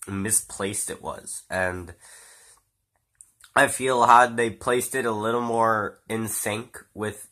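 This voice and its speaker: A man's voice: lowish voice, bright, forceful tone. Stuffy-nosed man doing a casual review. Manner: rambling, swears casually, many fillers and restarts. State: stuffy nose, sick. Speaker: movie reviewer. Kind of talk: casual spoken film review.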